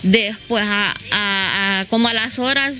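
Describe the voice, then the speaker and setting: Middle aged lady from southern honduras talking in a news interview, repeats herself, mid pitch, music under it. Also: slow pace, sharp tone. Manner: repeats phrases, often adds "¿verdad?". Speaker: local resident being interviewed. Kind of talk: spontaneous news interview testimony. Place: at her house, open air. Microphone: handheld reporter microphone held toward her.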